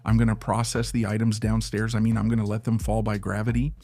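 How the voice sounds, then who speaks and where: A man, hoarse from being sick, giving casual gameplay commentary and thinking aloud as he plans and second-guesses his build. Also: on the low side, level tone, fast, warm tone. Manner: thinks aloud, unsure and musing. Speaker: gaming channel host.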